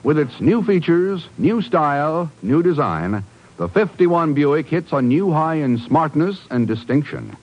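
A man's voice: fairly high pitch, big pitch swings, measured pace, lo-fi sound. A man announcing in a polished, emphatic 1950s radio style, reading scripted program and sponsor copy. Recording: band-limited old radio broadcast recording. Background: music.

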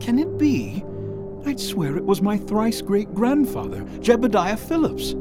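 An actor voices a curious, jumpy man who gasps in wonder and then grows uneasy, in a radio play. His mid-pitched voice swoops up and down in a very lively way. Faint music runs underneath. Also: measured pace. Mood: amazed. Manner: excitable, wondering, increasingly uneasy. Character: nervous, curious young man exploring the past. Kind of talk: radio drama acting.

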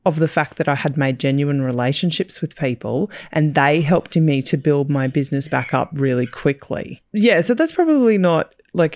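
An animated woman talks through questions on a casual podcast. Her voice has a gravelly, creaky edge. It is recorded close and dry. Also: low voice, no room sound. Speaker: podcast co-host, business owner.

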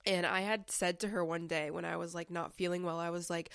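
Young woman, podcast host, slightly sick, chatty with filler words, lowish voice, dry close mic. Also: flat delivery, a little fast, vocal fry, a bit bright, clear, resonant tone, dry recording. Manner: chatty and conversational, with frequent "like" fillers. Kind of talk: casual self-help podcast monologue.

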